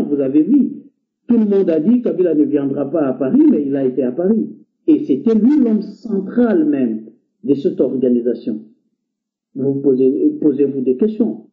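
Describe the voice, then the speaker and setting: A man from Congo, not a native French speaker, earnestly exhorting listeners on a call-in show with frequent hesitations. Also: a little high. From Democratic Republic of the Congo. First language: Lingala or another Congolese language. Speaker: guest speaker. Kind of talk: impassioned call-in talk. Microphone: internet call. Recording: tinny phone-like line.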